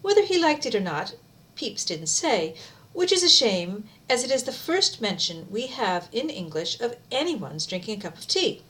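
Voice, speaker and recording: A woman's voice: a little high, big pitch swings, moderate pace, fairly bright tone. A middle-aged woman speaking informally, as someone sharing and reading a favorite book aloud in an amateur video. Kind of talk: casual chat and amateur read-aloud of a book.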